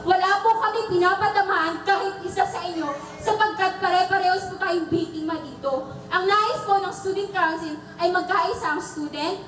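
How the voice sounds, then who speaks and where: Piercingly high young Filipino woman at a rally. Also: a bit bright. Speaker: student council protest speaker. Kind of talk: impassioned protest speech. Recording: echoey, far from microphone.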